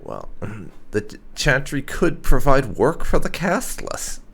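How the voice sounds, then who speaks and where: A man hosting a roleplay let's play, commenting in a halting, thoughtful way and trailing off as he thinks. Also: medium pitch, big pitch swings, vocal fry, a bit bright, breathy edge. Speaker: gaming channel host.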